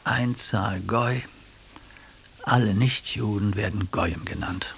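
A man's voice: lowish voice, slow, gravelly. An actor in a radio drama playing an earnest, ailing older man who reflects on mystical experiences with educated diction. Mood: insistent. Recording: faint tape hiss.

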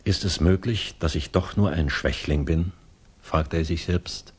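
A man's voice: low-pitched, unhurried, husky. A man narrating a literary tale in a 1960s German radio play, sober and scripted.

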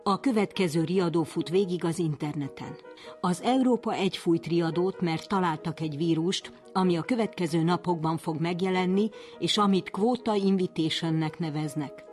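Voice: medium pitch, darkish tone, soft background music. A voice reading a warning in a news style with an urgent tone.

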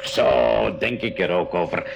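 A man's voice: low voice, moderate pace, creaky, loud music behind the voice. A man playing a kindly, adventurous professor in a school radio play, given to mutters and hearty chuckles. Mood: jovial. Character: kindly adventurous male professor, inventor of a flying submarine. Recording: faintly noisy 1980s radio drama recording.

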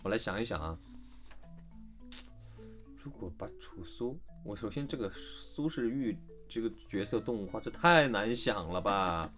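A man's voice: on the low side, expressive, unhurried, fairly bright tone, faint music behind. A man, a voice actor, chatting casually as a guest in a group interview.

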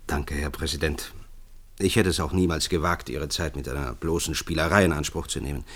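A man's voice: a bass voice, steady tone, a little fast, fairly bright tone. An actor playing an earnest male expert in a German science-fiction radio drama, formally lecturing and persuading. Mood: earnest, insistent. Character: earnest male science expert pitching a visionary project to leaders.

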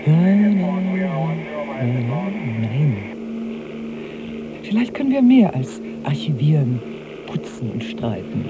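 An actress playing a forthright, questioning wife in a radio drama, yearning and strained. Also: female voice, deep voice, very animated, slow, highs cut off, heavy background noise, exaggerated voice. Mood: anxious.

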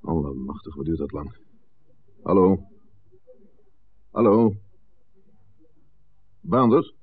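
A man's voice: low voice, lively intonation, slow, slightly rough, no room sound, heavily acted. A man acting a blunt, confident village conspirator, impatient and persuasive, in a 1960s radio play. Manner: blunt, insistent. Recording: muffled, narrow-band old radio recording.

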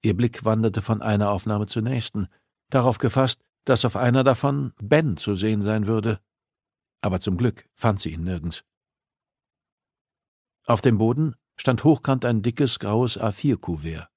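A man narrates scripted fiction as an audiobook reader. His voice is on the low side, and the delivery is dramatic, captured close and very dry. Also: measured pace, a little mellow, dry recording.

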